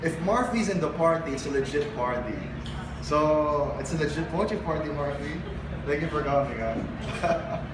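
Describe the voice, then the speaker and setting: A Filipino man with a high-pitched voice performs spoken word at a live event, mixing poetry with casual stage talk. The recording is distant and roomy, with clear background noise. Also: lively intonation, normal speed. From Philippines. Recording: roomy, distant mic, noisy live venue.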